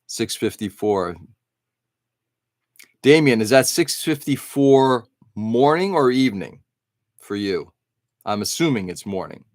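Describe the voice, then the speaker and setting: A man's voice: lowish voice, dry recording, studio quality. A middle-aged man hosting an early-morning live stream, rambling casually and answering viewers' chat comments.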